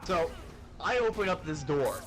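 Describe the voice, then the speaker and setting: A man telling a story in an animated, casual way, swearing and sounding exasperated as he goes. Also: on the high side, a little slow, soft background music. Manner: profane, exasperated, uses acted-out dialogue.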